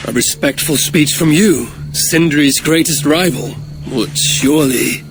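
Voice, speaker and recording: An actor playing a wry, proud and eloquent Norse god in a dramatic video game cutscene, with theatrical self-assurance. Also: male voice, on the high side, unhurried, over music. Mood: persuasive.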